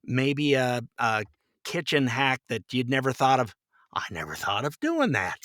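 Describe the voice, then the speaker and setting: A middle-aged or older man telling stories casually as a podcast guest, chatty and quick to chuckle, acting out bits of dialogue. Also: mid-range voice, sing-song, a bit bright, no echo, studio quality. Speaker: podcast guest, voice actor and director. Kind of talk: casual conversational storytelling.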